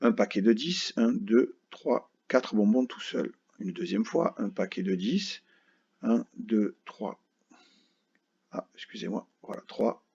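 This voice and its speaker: A man's voice: fairly low pitch, lively intonation, slow, vocal fry, a little mellow, husky. A male teacher patiently explaining a math lesson to children step by step, often checking that they follow. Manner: patient, explains step by step, keeps asking "d'accord?". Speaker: primary school teacher. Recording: dull lo-fi recording.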